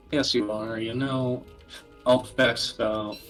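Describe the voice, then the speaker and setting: A man's voice: medium pitch, fairly even intonation, takes it slowly, a bit bright. Man in casual banter over music. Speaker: podcast co-host.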